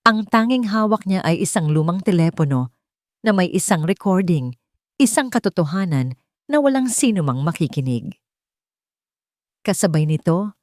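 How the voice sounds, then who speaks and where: A female storyteller narrates a scripted melodrama with solemn emotion and deliberate delivery. Her voice sits a little low and moves through a very expressive melody, in a close, dry recording. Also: dry recording.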